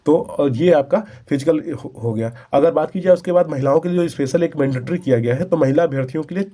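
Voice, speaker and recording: A man's voice: on the high side, fast talker, mellow. A man with a North Indian Hindi accent giving informational updates as a channel host, explaining step by step.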